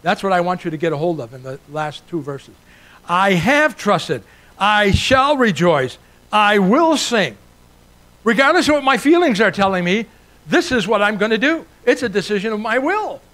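An older man from Italy delivers a sermon with a slightly high voice. He preaches earnestly and builds his points through rising repeated lists. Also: animated, moderate pace, fairly bright tone. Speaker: guest preacher.

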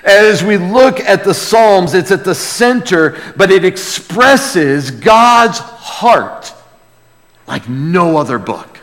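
A male pastor gives a conversational sermon in a fairly high voice. He takes his time, speaking in a folksy, check-in style, and his words ring with long reverb from a large hall. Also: fairly bright tone. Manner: folksy, asks rhetorical check-in questions. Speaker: pastor preaching. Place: large reverberant room, preaching to a congregation.

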